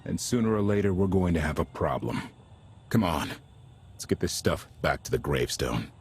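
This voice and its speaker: A man's voice: rumbling low, very animated, moderate pace, darkish tone, breathy edge, heavily acted. A man playing an earnest, idealistic deserter pilot in a video game, with natural conversational delivery. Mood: grave, reproachful. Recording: faint music behind the voice.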